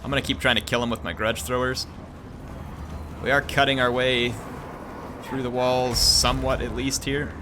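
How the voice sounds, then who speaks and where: A man's voice: medium pitch, flat delivery, moderate pace, pauses often, background noise. A man hosting a gaming channel, giving playful, jokey live commentary on a battle and chatting with his viewers.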